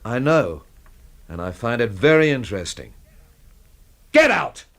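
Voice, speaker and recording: A man's voice: medium pitch, big pitch swings, unhurried, fairly bright tone. An actor playing a wry, sardonic Englishman with an English accent in a radio mystery, both narrating and in dialogue. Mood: scornful. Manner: wry, dry, scornful wordplay. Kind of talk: radio drama acting with first-person narration. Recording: radio drama with music behind.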